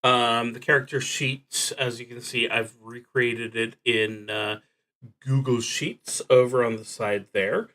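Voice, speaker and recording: A man hosting a solo role-playing livestream, talking casually and haltingly with long pauses and a wry, self-deprecating streak. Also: mid-range voice, fairly even intonation, bright, forceful tone. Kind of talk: casual livestream talk introducing a game.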